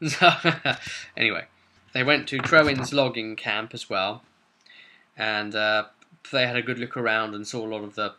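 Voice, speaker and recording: A man hosting as game master, recapping a tabletop roleplay session in a chatty, informal way with halting asides. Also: mid-range voice, steady tone, bright, forceful tone. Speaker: game master, vidcast host.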